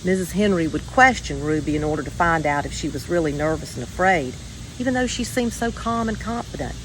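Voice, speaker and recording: Woman reading a storybook, lowish voice, background noise. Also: low-quality recording. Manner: measured, expressive storytelling with deliberate pauses. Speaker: storybook reader. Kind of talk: scripted children's story reading.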